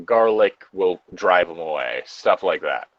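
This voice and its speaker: A man's voice: deep voice, expressive, measured pace, dry recording, muffled recording. A man co-hosting a podcast, chatting casually and giving opinions on horror in a rambling, sweary way. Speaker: podcast co-host.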